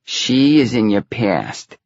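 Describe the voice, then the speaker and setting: A man voicing a plain-spoken widow with a Brooklyn accent and a flat, matter-of-fact manner in an audiobook. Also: medium pitch, takes it slowly, no room sound, dull audio. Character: plain-spoken Brooklyn widow, friendly part-time psychic.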